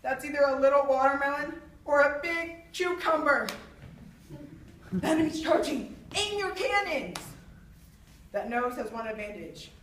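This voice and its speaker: Woman playing proud mocking swordsman poet, high voice, haughty, taunting, witty stage declamation, echoey room, distant mic. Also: animated, unhurried, fairly bright tone, slight background noise. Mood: mocking, playful. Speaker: student actor. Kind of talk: theatrical stage monologue. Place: echoey room, far from the microphone. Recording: roomy echo, distant microphone.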